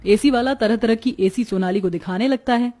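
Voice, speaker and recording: A woman's voice: on the high side, brisk, dark tone. A woman narrating a scripted story.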